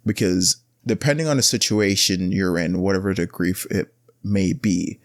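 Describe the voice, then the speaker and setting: A man's voice: lowish voice, no room sound, studio quality. Blunt, rambling man hosting his podcast. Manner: rambling, with frequent restarts and filler words. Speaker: podcast host. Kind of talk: casual solo podcast monologue.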